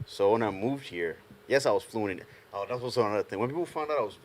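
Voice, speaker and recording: A young man from Ghana, a panel guest telling animated stories in a casual group chat, often laughing as he talks. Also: fairly low pitch, quick pace, slight background noise. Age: young adult. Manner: animated storyteller, laughs through anecdotes.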